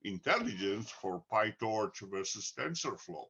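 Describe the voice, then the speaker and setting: Slow Italian-accented man, dull sound. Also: fairly low pitch, sing-song, bright, forceful tone, breathy edge. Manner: slow, deliberate, frequent "uh" pauses. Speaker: book co-author. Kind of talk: conversational talk.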